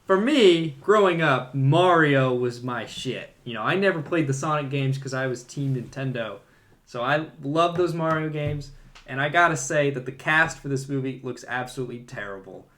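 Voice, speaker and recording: A man's voice: medium pitch, expressive, moderate pace, a bit bright. A man co-hosting a podcast, talking informally and giving his opinions in casual movie chat. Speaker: podcast co-host.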